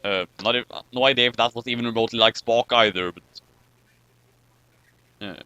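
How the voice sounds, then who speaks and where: A man's voice: medium pitch, fairly even intonation, normal speed, pushed and bright, clean tone, no echo, highs cut off. A man reading a story aloud for comedy, with casual commentary in between. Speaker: reader and commentator. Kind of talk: comic dramatic reading with casual chat.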